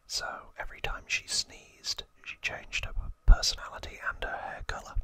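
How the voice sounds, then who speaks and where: An ASMR host whispering trivia in a breathy, unvoiced voice, explaining hesitantly with soft pauses.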